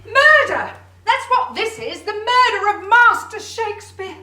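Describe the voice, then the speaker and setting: A very high-voiced, haughty stage actress in a radio play. She gushes and declaims with easily wounded grandeur. It sounds roomy and distant. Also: very animated, a little slow, sharp tone, heavily acted. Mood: indignant, theatrical. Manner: theatrical, effusive declaiming. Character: excitable, haughty, melodramatic theatre actress, easily offended. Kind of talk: stagy radio play acting. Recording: roomy, distant, noisy home-style recording.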